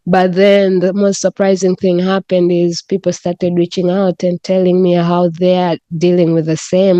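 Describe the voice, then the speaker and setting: Woman, mellow tone, smooth voice, frank storyteller. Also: lowish voice, no echo, dull audio. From Tanzania. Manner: frank and animated, swears freely. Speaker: podcast guest. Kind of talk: candid conversational storytelling.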